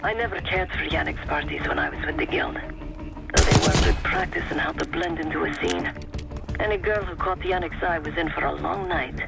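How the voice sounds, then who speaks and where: An actress playing a cool-headed, vengeful ex-criminal assassin in a video game, giving clipped, sardonic orders. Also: female voice, low-pitched, normal speed, vocal fry, over loud music, rough recording. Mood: cool, conspiratorial. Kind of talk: video game cutscene acting.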